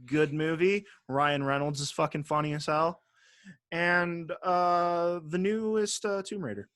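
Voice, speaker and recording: Male podcast co-host, slightly higher voice, informal, sweary and teasing, dry sound. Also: fairly even intonation, measured pace, fairly bright tone, smooth, no room sound. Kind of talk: casual podcast chat.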